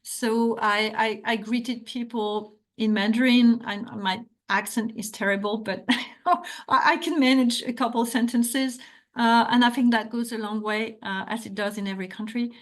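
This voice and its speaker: A woman's voice: a little high, fairly even intonation, normal speed. A middle-aged woman from France with a French accent, giving an academic talk and answering questions, earnest and full of restarts. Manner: earnest, hesitant with frequent restarts. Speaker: academic author.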